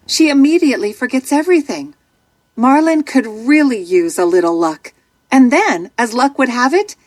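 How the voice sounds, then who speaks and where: A woman narrating a scripted children's story in an expressive, dramatic way. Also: sits high, no echo.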